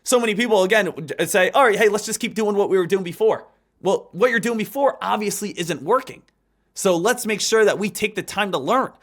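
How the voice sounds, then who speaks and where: A man in his thirties teaching a live trading lesson, talking in an energetic, repetitive coaching style. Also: high-pitched, brisk. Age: 30s. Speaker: trading instructor.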